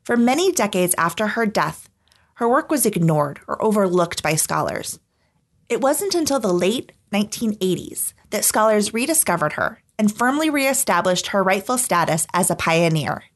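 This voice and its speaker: A woman reading scripted documentary podcast narration in an articulate, measured storytelling manner. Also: medium pitch, sing-song.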